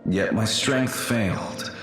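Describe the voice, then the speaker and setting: A fallen warrior's ghost from a video game, courteous and full of sorrow. The low-pitched voice moves slowly and formally through a heavy, ghostly echo, with music faintly behind. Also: male voice, fairly bright tone. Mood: solemn. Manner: formal, solemn, archaic phrasing, sighing. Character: ghost of a slain male warrior, melancholy, humble, courteous. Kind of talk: video game character dialogue.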